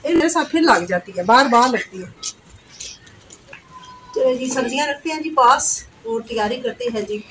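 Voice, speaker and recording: A woman's voice: a little high, animated, normal speed, pauses often, a bit bright, low-quality recording. A woman in her thirties from Pakistan, speaking, chatting while she cooks and explaining each step, with "ji" often dropped in. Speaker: vlogger cooking. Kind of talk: casual cooking vlog narration. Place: outdoor village rooftop courtyard. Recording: outdoor camera audio with noise.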